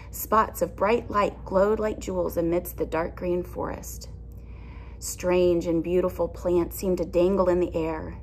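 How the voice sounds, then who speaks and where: Female teacher, thirties, expressive picture-book read-aloud for young children, unhurried, with background noise. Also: fairly low pitch, rough recording. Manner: expressive, explains and asks children simple questions. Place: indoor classroom with alphabet wall displays.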